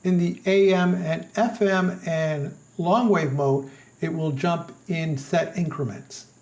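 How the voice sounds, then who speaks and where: High-pitched, creaky-voiced man narrating a review. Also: fairly even intonation, slow, darkish tone. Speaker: product reviewer.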